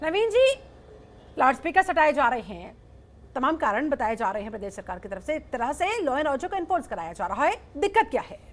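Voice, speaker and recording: Female news debate moderator, very high register, interrupts and pushes for direct answers. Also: sing-song, brisk. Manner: interjecting, pressing with direct questions.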